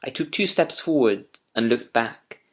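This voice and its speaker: A man narrating a first-person audiobook story with measured, literary, deliberate phrasing. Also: medium pitch, animated, slightly rough. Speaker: audiobook narrator reading as Doctor Watson.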